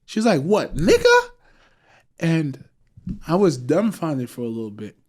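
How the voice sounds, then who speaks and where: A man with an American accent co-hosts a casual podcast, a little tipsy. His pitch sits a touch high and swings widely as he tells animated stories and laughs through them. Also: unhurried. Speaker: podcast co-host. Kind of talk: casual chat.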